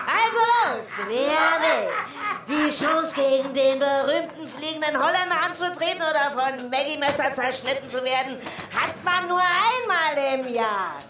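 A woman's voice: high voice, fairly bright tone, full character voice. A woman playing a boisterous, taunting pirate master of ceremonies in a children's radio play, theatrical and teasing. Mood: rousing, gleeful. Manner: theatrical, teasing, drawn-out announcing. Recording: echoey, distant, amid a noisy crowd.

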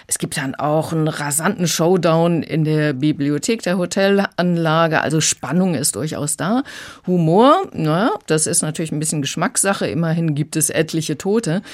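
A woman's voice: deep voice, normal speed. A woman working as an audiobook critic, explaining emphatically in a radio review conversation. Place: radio studio.

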